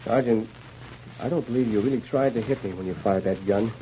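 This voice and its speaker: A man's voice: lowish voice, warm tone, close to an everyday voice. Man playing a desperate, agitated fugitive in radio drama. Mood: relieved. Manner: halting, agitated, pleading. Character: desperate, honest male fugitive, anxious and emotional. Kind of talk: old-time radio drama acting. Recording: muffled, noisy vintage radio recording.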